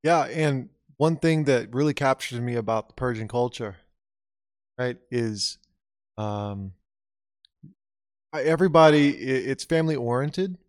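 A man from the USA co-hosts a podcast in a smooth, clear voice at a middle pitch. He talks loosely and casually, filling his sentences with "um" and "you know". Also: unhurried, pauses often. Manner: relaxed, filler-heavy, lots of "you know" and "um". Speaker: podcast co-host.

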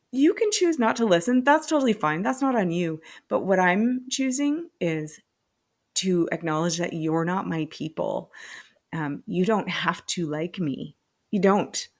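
A woman in her late forties hosting her own podcast, talking candidly and with self-deprecating humor, laughing often. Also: lowish voice. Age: 40s. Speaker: podcast host. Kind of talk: personal solo podcast monologue.

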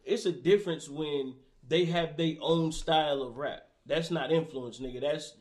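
A man's voice: on the high side, normal speed, a crowd in the background. A man in casual, profane conversation, arguing his point with laughs and repeated restarts. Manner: profane, argumentative, laughs often. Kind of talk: casual debate chat.

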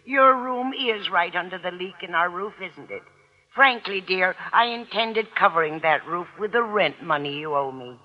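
A woman's voice: on the low side, lively intonation, moderate pace, background noise, lightly acted. An American actress playing a sweet, frank old landlady, motherly and matter-of-fact, in an old radio sitcom. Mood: fussy concern. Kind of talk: 1940s-50s radio sitcom acting. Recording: dull old radio recording.

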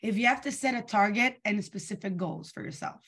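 A young woman runs a weekly training call with lots of energy, often asking her audience questions. Her voice is a bit tired and worn, and it sits at an ordinary pitch for a woman. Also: fairly quick, fairly bright tone, breathy edge. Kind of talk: live online coaching session.

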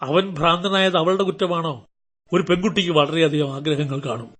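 An actor playing a callous, scheming middle-aged father in a radio drama, commanding and quick to bark. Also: a little high, fairly bright tone. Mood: self-justifying. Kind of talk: Malayalam radio drama acting.

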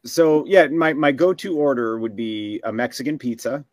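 A man's voice: medium pitch, animated, normal speed, a little mellow, no room sound. A young man with a Chicago accent, chatting enthusiastically as a live stream co-host. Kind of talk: casual group chat.

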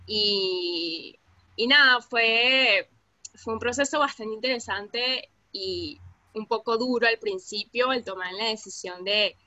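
A woman's voice: mid-range voice, no echo. A young Venezuelan woman from Caracas with a Venezuelan accent, chatting casually as a podcast guest with lots of fillers. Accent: Venezuelan Spanish, Caracas. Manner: relaxed, lots of fillers, laughs easily.

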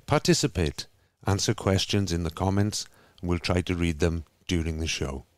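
Deep-voiced Welsh man hosting. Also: moderate pace, dry recording. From Wales. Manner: steady, scripted welcome with small slips. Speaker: host. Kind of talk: welcoming a video call group. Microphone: video call.

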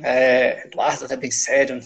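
A man's voice: medium pitch, completely flat delivery, normal speed, vocal fry. A man from Minas Gerais with a Minas Gerais accent, chatting as a researcher guest on a podcast, talking freely with lots of tag questions. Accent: Minas Gerais Brazilian Portuguese. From Minas Gerais, Brazil. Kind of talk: casual conversational podcast.

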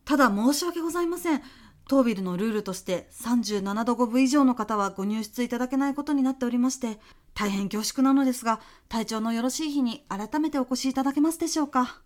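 A woman's voice: fairly high pitch, quick pace, lightly acted. A woman playing a very formal, apologetic, flustered office receptionist in a comedic radio drama. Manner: very formal, apologetic customer-service politeness. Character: polite, flustered female office building receptionist.

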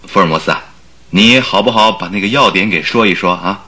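A man's voice: low-pitched, a little fast, bright, forceful tone. An actor playing a detective's curious, impatient male companion in a radio drama, eager and prodding. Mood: curious, amused.

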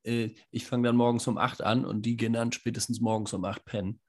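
A man co-hosting a podcast from his home kitchen, hoarse and scratchy from a cold, chatting casually with dry, teasing humor. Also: medium pitch, flat delivery, brisk. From Northern Germany. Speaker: podcast co-host. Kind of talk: casual chat. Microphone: home mic in a kitchen.

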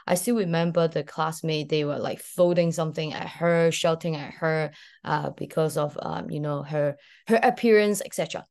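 A woman's voice: low voice, fairly even intonation, normal speed, a little mellow. A young woman with a Hong Kong accent, a podcast guest telling her story in a casual, hesitant way with many fillers and restarts. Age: young adult. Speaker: podcast guest, graduate student.

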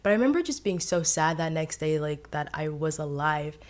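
A woman's voice: low-pitched, lively intonation, a little fast. A woman in her twenties from Haiti, a podcast guest telling her personal story in a candid, casual way, laughing often and using lots of fillers. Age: 20s.

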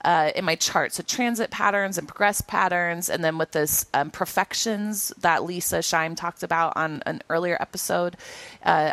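A woman co-hosting a podcast in a casual chat, with a nasal voice and a quick, rambling way of talking full of restarts. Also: fairly low pitch, a bit bright, no echo. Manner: quick, rambling, self-correcting talk with restarts. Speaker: podcast co-host.

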